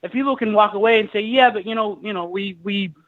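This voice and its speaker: A man's voice: sits high, fast talker, a bit bright, no room sound. A man in his thirties calling in to a radio show, talking earnestly with many restarts and fillers. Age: 30s. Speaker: caller. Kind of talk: call-in radio talk. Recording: dull phone-line call audio.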